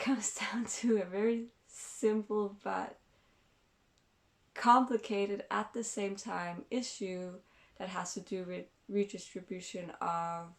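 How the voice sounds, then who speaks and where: A woman's voice: fairly low pitch, gravelly. A woman from Denmark hosting her own vlog, explaining thoughtfully with many fillers and restarts. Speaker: channel host.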